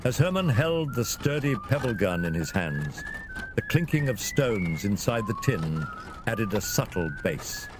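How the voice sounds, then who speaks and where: A man's voice: lowish voice, sing-song, a little slow. A man narrating a scripted fantasy adventure in a dramatic storytelling style. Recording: clear vehicle and ambience noise behind.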